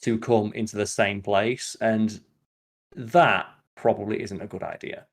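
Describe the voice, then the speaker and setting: Low-pitched man chatting, sweary and opinionated. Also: steady tone, unhurried. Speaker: podcast host. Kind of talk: casual podcast chat.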